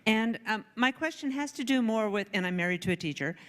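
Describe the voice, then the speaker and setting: A woman in the audience asking a question thoughtfully, with pauses. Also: on the high side, animated. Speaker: audience member. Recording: reverberant hall.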